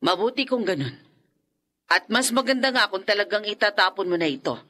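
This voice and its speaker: A grave older woman, bright, solemn, warning, radio drama. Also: mid-range voice, animated, brisk. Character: grave older woman who survived a haunting.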